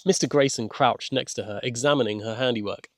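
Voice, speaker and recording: A man reading a scripted children's audiobook as narrator, with measured, gentle storytelling. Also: mid-range voice. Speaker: author and narrator.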